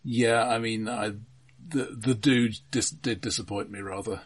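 A man co-hosting a podcast, talking casually about films and haltingly repeating the first words of his sentences. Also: lowish voice, steady tone, breathy edge, dry recording. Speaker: podcast co-host. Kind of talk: casual film discussion.

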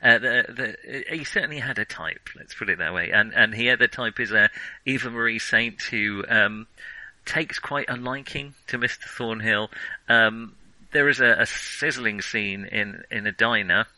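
Male film podcast co-host: very bright, slightly low voice, casual and wry, frequently self-correcting. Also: normal speed, no echo, low-quality recording.

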